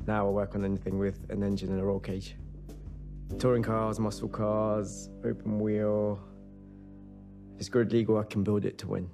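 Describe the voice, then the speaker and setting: A man's voice: lowish voice, little pitch movement, normal speed, gravelly, mellow, faint music behind. A man playing a confident, boastful lead race engineer, talking informally in documentary-style game interviews. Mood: proud, confident.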